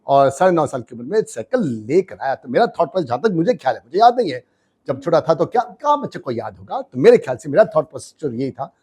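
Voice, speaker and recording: A man, a business coach telling his life story in an interview, switching between Hindi and English with emphatic, animated delivery. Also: on the high side, fast, breathy edge. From India. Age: 60s. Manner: animated, emphatic, mixes languages.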